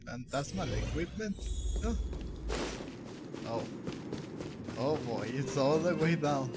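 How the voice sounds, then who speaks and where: A man hosting a game playthrough, commenting in an informal, halting, reactive way. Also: on the high side, expressive, very noisy. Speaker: gaming video host. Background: loud game music.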